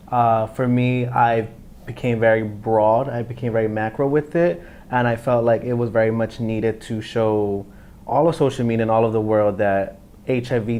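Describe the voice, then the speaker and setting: A man's voice: mid-range voice, a little mellow, a little hiss or hum. A man in his twenties answering an interview question in an earnest way, with some fillers. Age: 20s. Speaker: interview guest.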